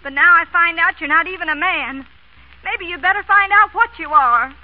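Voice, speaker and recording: An actress playing a fretful, scolding but soft-hearted small-town woman in old radio comedy, fussy and plaintive. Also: extremely high pitch, moderate pace. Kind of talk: 1940s radio comedy acting. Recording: muffled, hissy old radio broadcast.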